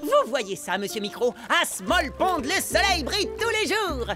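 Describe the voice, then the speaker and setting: A woman voicing an anxious, over-eager young boy microbe in an animated cartoon, flustered and stammering. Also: high voice, a little slow. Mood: proud pitching. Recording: music under the voice.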